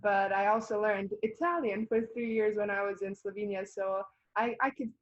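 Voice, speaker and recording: A woman's voice: mid-range voice, normal speed, dull audio. A young woman from Bosnia and Herzegovina, a native Bosnian speaker and college volleyball player, answering interview questions in a chatty way, with fillers and frequent laughs. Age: young adult. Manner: chatty, laughs often, many fillers and restarts.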